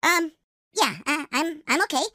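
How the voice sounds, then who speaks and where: High, bright, fretful little droid acted by a woman. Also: moderate pace, no echo, clean studio sound. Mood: nervous, hopeful. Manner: fretful, wordy, dramatic. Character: anxious, exasperated, chatty droid adventurer. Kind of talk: sci-fi comedy radio drama.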